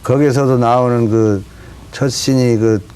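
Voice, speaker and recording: A man's voice: mid-range voice, fairly even intonation, measured pace, a little mellow. Conversational man. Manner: loose, conversational. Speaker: guest, actor in the film. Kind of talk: studio talk show discussion. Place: TV studio.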